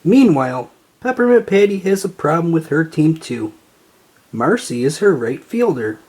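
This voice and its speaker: A narrator reading a children's story aloud in an expressive way, acting out the dialogue. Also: medium pitch, normal speed, husky. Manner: animated, acts out dialogue.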